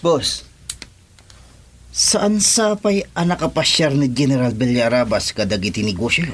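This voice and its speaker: A man's voice: medium pitch, a little slow, slight background noise, natural voice. An actor with a Filipino accent playing a deferential henchman in a radio drama. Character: deferential male henchman of a crime boss.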